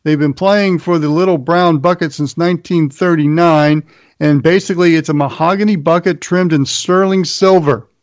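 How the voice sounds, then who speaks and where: American man, sports show host, fairly high voice, dry recording, round-up delivery. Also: fairly even intonation, moderate pace, dry recording, muffled recording. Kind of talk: sports round-up, partly scripted narration.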